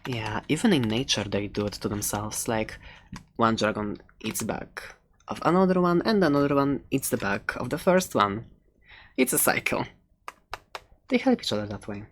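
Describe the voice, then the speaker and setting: A woman's voice: extremely low pitch, very animated, moderate pace, slight background noise. A woman playing a playful, friendly dragon egg seller in an improvised ASMR roleplay, rambling hesitantly with little giggles. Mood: gentle, helpful.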